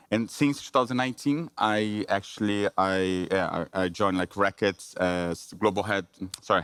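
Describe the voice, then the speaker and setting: A man with a Brazilian Portuguese accent presents at a business conference. He speaks in a somewhat low voice, often pausing on fillers and restarting phrases. The sound is close and dry, with almost no room echo. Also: fairly bright tone, clear, resonant tone. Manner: halting, many fillers and restarts.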